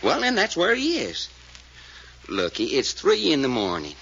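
An actor in a 1940s radio serial playing a folksy, excitable, grumbling detective sidekick with an American Southern drawl. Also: male voice, fairly high pitch, a little slow, gravelly, breathy edge, exaggerated voice. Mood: exasperated, curious. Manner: folksy, questioning, exasperated. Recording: muffled, noisy old radio recording.

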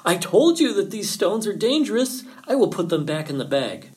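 A man voicing a sly male fox in an animal folktale, feigning worry with theatrical, drawn-out pleas and warnings. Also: sits high, very animated, a little mellow, voice pushed up. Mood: mock alarm.

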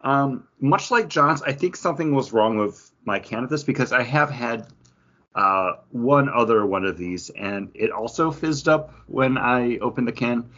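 A man's voice: mid-range voice, lively intonation, measured pace, fairly bright tone. Dull, slightly lo-fi audio of a man with a mid-range voice. He talks casually, like a podcast co-host giving a review, hesitating and correcting himself as he goes. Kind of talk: casual beer review chat.

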